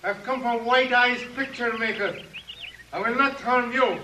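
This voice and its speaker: A man's voice: very high voice, fairly even intonation, measured pace, bright, forceful tone, exaggerated voice. A man playing a proud, threatening young Apache warrior with stilted, formal speech in a 1950s radio western. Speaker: actor in a western radio drama. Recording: distant, noisy old radio recording.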